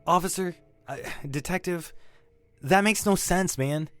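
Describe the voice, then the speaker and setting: An actor playing a shaken young male robbery suspect in an audio drama, defensive and grieving, halting and stammering as he talks. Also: sits high, a bit bright, clear, resonant tone. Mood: desperate insistence. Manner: halting, stammering, repeating himself. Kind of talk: naturalistic audio drama acting.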